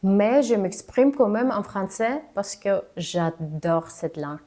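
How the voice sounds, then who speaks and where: A woman coach giving spoken advice on confident speaking, halting and careful as she talks in a language that is not her first. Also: medium pitch, animated, mellow. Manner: careful, halting delivery of a non-native speaker. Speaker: communication coach.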